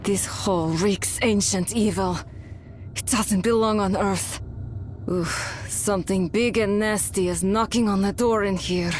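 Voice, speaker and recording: A man's voice: sits high, fairly even intonation, a little slow, a little hiss or hum. An actor playing a male archer hero with a plainspoken, casual delivery. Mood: wry.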